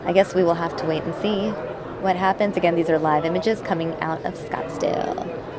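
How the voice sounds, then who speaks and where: A woman's voice: fairly low pitch, steady tone, a little fast, over a noisy crowd, very noisy. A woman anchoring live news coverage, filling time and guessing at what comes next with the odd "uh". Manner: speculative, filling time with hedges and "uh". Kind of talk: live news commentary over pictures.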